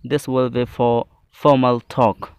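Slow, clear-voiced male teacher. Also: mid-range voice, level tone, a little mellow, dull audio. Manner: deliberate, phrase-by-phrase delivery. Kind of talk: scripted English lesson, reading example phrases.

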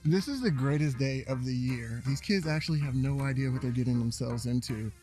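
Excited man in a campus promo video. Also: mid-range voice, brisk. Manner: upbeat, excited. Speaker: program staff in a promo video. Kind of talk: enthusiastic promo video talk. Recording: promo video with music underneath.

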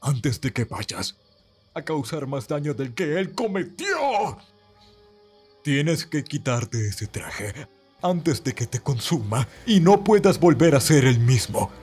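Male actor as earnest frightened everyman in animated drama, Latin American accent, lively pitch, husky. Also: takes it slowly, soft background music, full character voice. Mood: pleading. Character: frightened, defiant man pleading with his captor. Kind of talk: amateur animated fandub acting.

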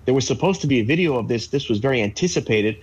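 A man in his thirties, a legal analyst, explaining a court case in a measured way with fillers over a video call from a home office. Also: medium pitch, animated, breathy edge, highs cut off. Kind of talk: live news interview commentary.

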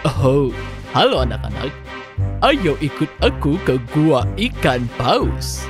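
A man's voice: fairly high pitch, sing-song, slow, music in the background. A man voicing a sly, coaxing killer whale villain in a children's cartoon, with exaggerated delivery.

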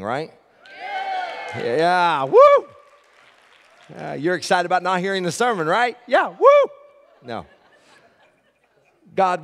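An older man preaching as a pastor, folksy and joking with the congregation, on a headset mic from a church stage. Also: fairly high pitch. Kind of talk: sermon with announcements. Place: large church stage with audience. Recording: long reverb of a large hall.

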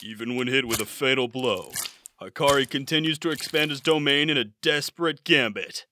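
A man's voice: mid-range voice, normal speed, pushed and bright, a little hiss or hum, lightly acted. A man performing scripted anime narration as a serious battle narrator, grave and emphatic. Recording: dramatic music behind the voice.

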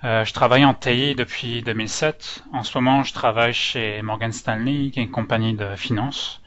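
Casting: male user group presenter, French-Canadian mixed accent, crisp bright tone, somewhat nervous, muffled online-call sound. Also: medium pitch, fairly even intonation, normal speed, a little hiss or hum. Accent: French with Canadian-influenced intonation. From France. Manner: hesitant, nervous speaker. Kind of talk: informal technical presentation. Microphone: online meeting microphone. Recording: dull, lo-fi online call audio.

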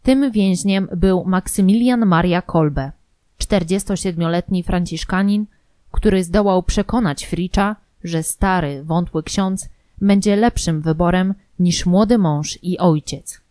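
A woman reading scripted documentary narration in a measured, formal manner. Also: fairly low pitch, warm tone, no room sound.

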